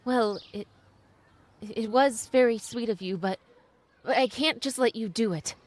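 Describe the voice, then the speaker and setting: An actress playing a gentle, guarded young mother, proper and hesitant, in an old-time radio drama. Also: a little high, unhurried. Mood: hesitant, warm.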